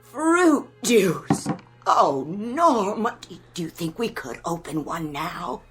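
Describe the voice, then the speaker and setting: A woman's voice: medium pitch, very animated, slow. An actress playing a fragile, anxious older woman who speaks in a tremulous, pleading way, in a 1960s television drama. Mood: desperate, ashamed. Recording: vintage TV soundtrack with music behind.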